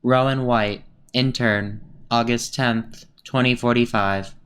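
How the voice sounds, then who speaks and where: A man's voice: mid-range voice, fairly even intonation, takes it slowly, a bit bright, smooth. A man playing a formal, impersonal announcer reading log headers in clipped phrases in a radio play.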